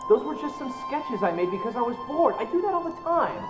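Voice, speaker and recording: A woman's voice: fairly high pitch, big pitch swings, fairly quick, music in the background. An actress playing an evasive, defensive woman in a mystery video game, heard in roomy, dull audio. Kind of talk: video game character dialogue.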